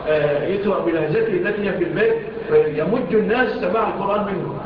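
A man's voice: high-pitched, fast. A man teaching a religious lesson, mixing formal with colloquial asides and rhetorical questions. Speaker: Islamic teacher explaining hadith. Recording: echoey distant dull recording with noise.

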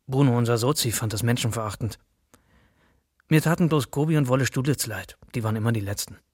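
A man's voice: medium pitch, quick pace, a little mellow, slightly rough, no room sound, clean studio sound, close to an everyday voice. An actor playing a wry, colloquial courier employee narrating his workplace in a radio play. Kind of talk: scripted first-person narration in a radio play.

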